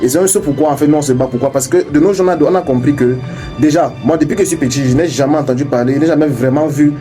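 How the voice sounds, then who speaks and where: A young man, an English speaker by birth, guesting on a radio interview and talking quickly with many halting restarts. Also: on the high side, mellow, faint music behind. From Cameroon. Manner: fast, halting talk with many restarts and repeated words. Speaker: radio guest, crypto entrepreneur. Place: radio studio.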